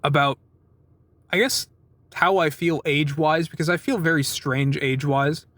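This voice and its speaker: A man in his twenties with a noticeably high voice talks casually to his viewers. The sound is dry, with little room in it. He rambles through personal stories in an informal way and acts out bits of conversation as he goes. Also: steady tone, moderate pace, a bit bright, no room sound. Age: 20s. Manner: acts out quoted exchanges. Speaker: vlogger giving a weekly update.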